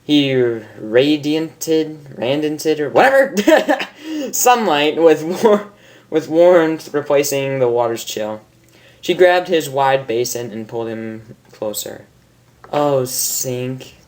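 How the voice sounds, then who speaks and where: A man's voice: a little high, animated, takes it slowly, darkish tone. Man informally narrating a fan story. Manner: amused, stumbling over words. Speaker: fan fiction reader.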